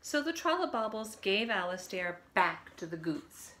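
A woman's voice: mid-range voice, very animated, unhurried, fairly bright tone. A woman in her fifties reads a picture book aloud to young children, expressively, with chatty asides, recorded at home. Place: living room at home, by a window.

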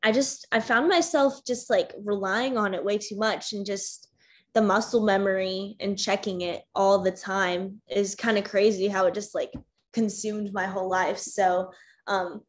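A young American woman hosting a casual video-call discussion, talking with many fillers and soft laughs. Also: medium pitch, fairly even intonation, a little slow. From United States. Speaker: discussion host. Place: home room with bookshelves. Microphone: webcam on a video call.

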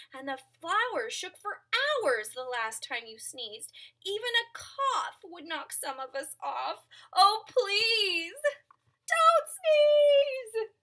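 A woman voicing a troop of frantic monkeys in a storybook read-aloud, pleading high and fast through rhymes. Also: pushed and bright, full character voice. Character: frantic chattering monkeys in the trees.

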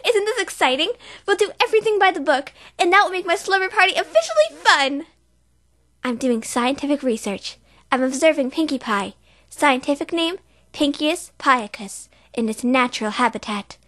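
A woman's voice: sits high, very animated, moderate pace, a bit bright. A woman doing an earnest bookish pony princess. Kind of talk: fan voice reel impression.

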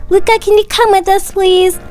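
A woman with a high, sweet voice hosts a storytelling roleplay video. She chats in a motherly way as she narrates, with music playing behind her. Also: little pitch movement, normal speed, a little mellow. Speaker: channel host and narrator. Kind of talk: roleplay storytelling over a life-simulation game. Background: background music.

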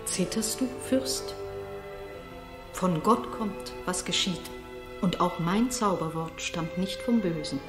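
A woman's voice: lowish voice, slow pace. A mysterious noblewoman with authority over a royal court. She declaims solemnly in an old radio drama. The recording is roomy, with a little music behind. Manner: solemn, declamatory stage delivery. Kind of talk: 1960s German radio drama acting.

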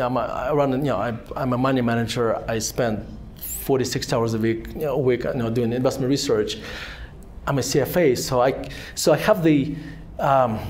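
A man's voice: medium pitch, darkish tone, a little hiss or hum. A man in his forties with a Russian accent, speaking as a guest at a fireside chat in a synagogue hall, telling rambling self-deprecating stories full of restarts. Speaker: author and guest. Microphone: handheld microphone.

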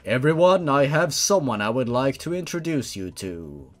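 A man voicing a towering faceless monster father in a storybook reading, formal, stern and paternal, with a deep tone. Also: big pitch swings, moderate pace, soft background music, close to an everyday voice. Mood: solemn, tender.

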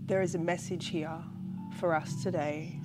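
A woman with a fairly low voice guides a relaxation meditation. She speaks gently and calmly, taking her time and pausing often between short phrases. Music plays clearly behind her. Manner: gentle, calm, unhurried with long pauses. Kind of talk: guided pregnancy relaxation meditation, spoken slowly and soothingly. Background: background music.

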